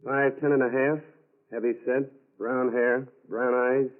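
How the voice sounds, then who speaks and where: An actor playing a confident, methodical FBI agent in a 1940s radio drama, brisk and matter-of-fact. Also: male voice, medium pitch, little pitch movement, lightly acted. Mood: businesslike. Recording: thin, tinny old radio recording.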